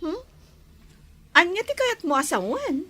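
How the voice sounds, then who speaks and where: A woman's voice: very high-pitched, very animated, unhurried, pushed and bright, exaggerated voice. A woman playing a caring, protective confidante in an Ilocano radio drama. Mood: concerned.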